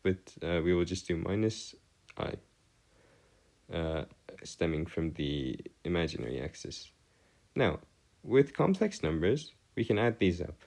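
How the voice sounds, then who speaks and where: Low-voiced male math tutor, swooping intonation. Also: expressive, creaky, a little mellow. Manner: formal wording, halting, self-correcting. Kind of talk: improvised explanatory lesson at a digital whiteboard.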